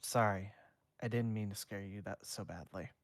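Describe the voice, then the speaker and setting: A man reading as a soft-spoken ghost boy. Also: lowish voice, normal speed, dry recording, studio quality, natural voice. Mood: apologetic. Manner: soft, reassuring. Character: half-ghost teenage boy, calm and gentle.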